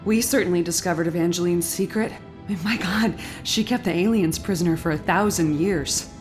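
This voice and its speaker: An actress playing a troubled, reluctant heroine in an audio drama, questioning and emotional. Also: female voice, lowish voice, moderate pace, lightly acted. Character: reluctant, troubled young heroine urged to become queen. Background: music.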